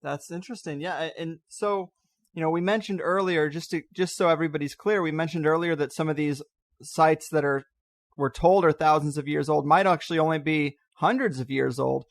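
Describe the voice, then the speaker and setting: A man's voice: a little high, fairly quick, no echo. A man hosting a podcast, interviewing a guest in a casual, conversational way.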